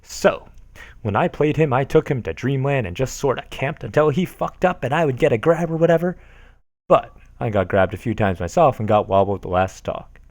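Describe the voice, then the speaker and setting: A man's voice: medium pitch, animated, normal speed, darkish tone, no echo. A man casually reading forum posts aloud on a stream, sarcastic and amused, often laughing at what he reads.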